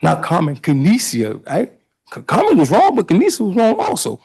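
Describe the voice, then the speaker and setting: A man testifies in court with a rough, deeply gravelly and husky voice. His pitch leaps around as he pushes back insistently, piling answer onto answer. He sounds defensive and emphatic. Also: wildly swooping pitch, normal speed, a little mellow. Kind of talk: courtroom testimony under cross-examination.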